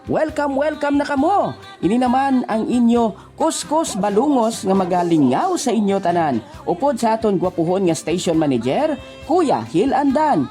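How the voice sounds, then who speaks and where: Male radio co-host, Western Visayan Filipino accent, very lively intonation, mellow tone, programme introduction. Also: high voice, normal speed, soft background music.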